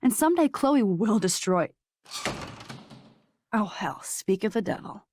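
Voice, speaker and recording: An American woman voicing a scornful, taunting dark double of a teenage girl in a video game, sneering and sarcastic. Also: mid-range voice, lively intonation, measured pace, noisy background, close to an everyday voice. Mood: mocking.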